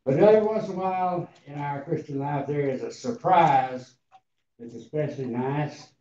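A man in his eighties with a raspy, tender voice, preaching and slowly reading scripture to a congregation. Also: fairly high pitch, warm tone. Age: 80s. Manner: slow, deliberate, halting reading. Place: large church hall before a seated congregation. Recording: roomy, distant, dull hall sound.

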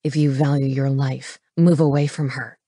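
A woman's voice: rumbling low, little pitch movement, normal speed, dark tone, no echo, studio quality, voice pushed down. A woman voicing a lustful, domineering young male wolf shifter in an audiobook, with a growling, commanding delivery. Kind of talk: paranormal romance audiobook character.

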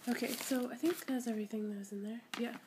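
A woman's voice: a little high, steady tone, fast talker, noisy background. A young woman vlogger with a head cold, chatting casually and rambling as she unboxes small toys, gushing and giggling. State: congested with a cold. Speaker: squishy collector vlogger. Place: indoors at home, camera pointed at a table.

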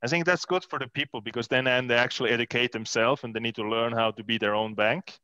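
Brief: thirties man, bright, crisp, dry close headset sound, matter-of-fact. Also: medium pitch, fairly even intonation, fast talker, no echo, lo-fi sound. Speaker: panelist. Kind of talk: panel discussion over video call. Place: room with plant wall indoors. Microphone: headset mic.

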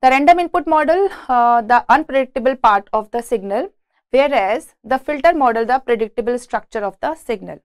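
A woman lecturer with an Indian accent speaks in a fairly high voice. She reads from and explains technical slides as a teacher does in class. The sound is dry, with very little room in it. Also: expressive, a little fast, smooth, dry recording. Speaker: engineering lecturer. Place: indoor lecture room at a presentation board.

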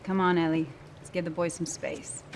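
A woman's voice: lowish voice, little pitch movement, normal speed, vocal fry, background noise. An actress playing a tough, guarded woman who leads a survivor settlement in a video game, plain-spoken and commanding. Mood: businesslike. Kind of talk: naturalistic video game acting.

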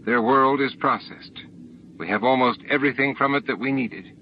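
A man's voice: mid-range voice, moderate pace, a little hiss or hum, voice pushed up, close to an everyday voice. Guy playing troubled alien dude in an audiobook, earnest and guilty, muffled. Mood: reluctant. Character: guilt-ridden, protective male alien with shell and snout. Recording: dull lo-fi audiobook tape recording.

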